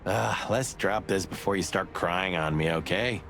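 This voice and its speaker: A man's voice: low-pitched, moderate pace, creaky, fairly bright tone, a little hiss or hum. A man playing a loyal, hot-headed rooster cop in a noir game, wisecracking and blustery. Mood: teasing. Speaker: detective's partner. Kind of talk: film noir game voice acting.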